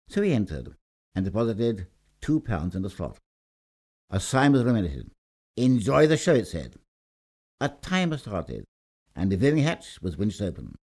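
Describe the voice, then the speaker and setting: A man's voice: on the low side, darkish tone. A man reading a scripted short story aloud in a theatrical, emphatic storytelling manner. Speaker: story reader.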